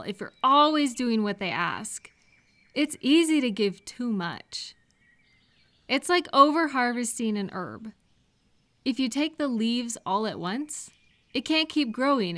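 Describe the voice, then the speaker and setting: Woman acting as caring female friend, slightly high voice, slow unhurried pace, gentle reassurance, comfort roleplay. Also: animated. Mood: reassuring. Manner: gentle, reassuring, unhurried, asks soft questions. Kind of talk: comfort audio roleplay, one-sided conversation.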